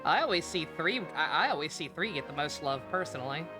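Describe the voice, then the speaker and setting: A woman's voice: deep voice, lively intonation, fast talker. A woman streaming a game live, giving casual commentary in a sarcastic, profanity-laced way. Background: game music.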